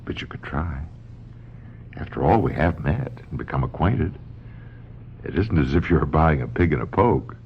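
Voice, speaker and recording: A calm, probing male psychiatrist in a radio mystery. His voice is extremely low and gravelly, with wry, lively inflection. The recording is old and dull. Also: normal speed, long pauses, a little hiss or hum, heavily acted. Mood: wry, reassuring.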